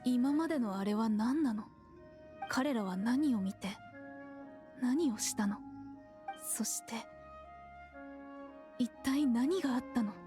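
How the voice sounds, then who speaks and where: A fantasy game heroine played by an actress speaks in a high, very mellow voice. Her delivery is dramatic and questioning, in anime style. Game music plays lightly behind her. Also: moderate pace, pauses often, natural voice. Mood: distressed questioning. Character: woman character in a fantasy video game.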